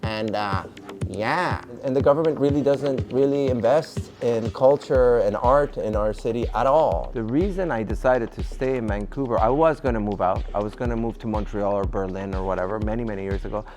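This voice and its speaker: A man's voice: mid-range voice, normal speed, darkish tone. A man in his forties, a DJ and music producer, talking in a casual, rambling documentary interview with frequent fillers and swearing. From Canada.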